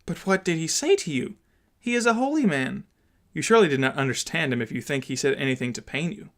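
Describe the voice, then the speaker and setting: A worried, devout mother in an audiobook, as a man reads her. The voice is lifted somewhat in pitch, and the manner is earnest and gently probing. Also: expressive, fast. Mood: anxious disbelief. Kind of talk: dramatised audiobook reading.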